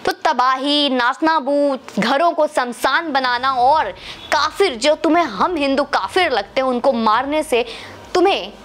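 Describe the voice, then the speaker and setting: A woman with a clearly high voice speaks as a political commentator. She argues combatively, addressing her opponents directly with sarcasm and pointed rhetorical questions. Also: measured pace, a bit bright. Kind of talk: opinion monologue to camera.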